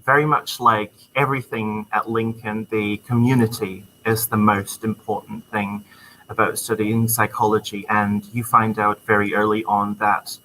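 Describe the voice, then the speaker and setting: A man's voice: on the low side, flat delivery, normal speed, pushed and bright, slight background noise, poor audio. A young man from the Czech Republic with a Czech accent, a student guest talking enthusiastically in a casual interview, with plenty of ums. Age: young adult. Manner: friendly, enthusiastic, many ums. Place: university computer lab.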